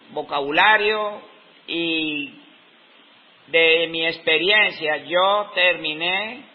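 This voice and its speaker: A Colombian man in his fifties speaks unhurried in a slightly high voice, stopping often to think mid-sentence. The recording is dull and lo-fi. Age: 50s. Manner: slow, reflective, pauses often mid-sentence. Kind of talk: unscripted talk.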